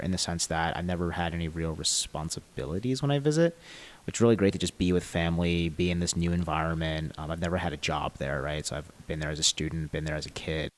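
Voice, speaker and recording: A young American man from the Philadelphia area, a podcast guest chatting casually and readily agreeing. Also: low-pitched. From United States, Philadelphia area. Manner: relaxed, affirming, frequent agreeing fillers. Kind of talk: casual interview conversation.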